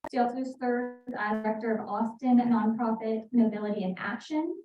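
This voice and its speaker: A woman's voice: a little high, normal speed, warm tone. A woman host reading a formal introduction of a guest speaker. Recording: distant mic, roomy, dull, faint noise.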